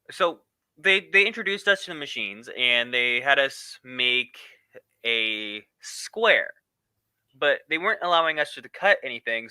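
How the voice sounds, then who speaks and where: Very bright, crisp-voiced man co-hosting a podcast. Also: mid-range voice, no echo. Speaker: talk show co-host. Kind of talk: casual podcast chat.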